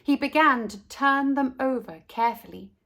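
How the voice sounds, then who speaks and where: A woman hosting a storytime, reading a children's storybook aloud in a deliberate, expressive way and speaking directly to young listeners. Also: high voice. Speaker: storytime host and narrator.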